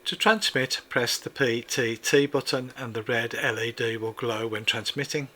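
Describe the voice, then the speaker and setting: A man narrating a scripted product review, giving measured, precise step-by-step instructions. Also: mid-range voice, bright, forceful tone, a little hiss or hum.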